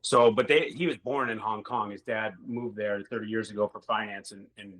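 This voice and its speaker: A man's voice: fairly low pitch, fairly even intonation, normal speed, fairly bright tone, low-quality recording. A middle-aged man, a former hockey player, telling rambling stories in a casual interview and swearing now and then.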